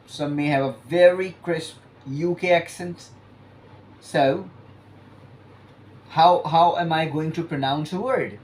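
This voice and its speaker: A man teaching an exam-prep lesson, explaining points emphatically and stretching key words between pauses. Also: fairly high pitch, a little hiss or hum. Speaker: IELTS teacher.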